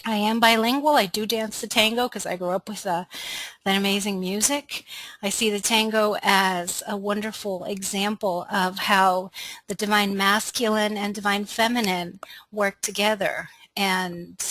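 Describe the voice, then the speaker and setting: A middle-aged American woman from Los Angeles hosting a class, introducing herself informally in a reflective, conversational way with pauses to gather her thoughts. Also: mid-range voice, fairly even intonation, fairly bright tone, rough recording. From Los Angeles, United States. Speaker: webinar host and astrology course facilitator.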